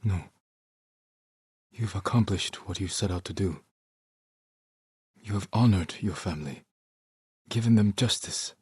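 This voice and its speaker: An actor playing a stoic, honorable samurai warrior in a video game, speaking in a measured, formal and grave way. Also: male voice, deep voice, darkish tone, slightly rough, dry recording, studio quality, exaggerated voice. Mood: calm, solemn. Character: stoic, honorable samurai warrior, adult man, solemn and protective. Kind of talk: dramatic video game acting.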